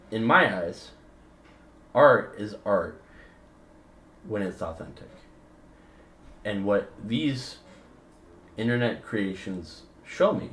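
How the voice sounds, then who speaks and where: A man giving a casual, opinionated video essay, talking emphatically and informally with slang in a rambling way. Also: lowish voice. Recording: roomy echo with background noise.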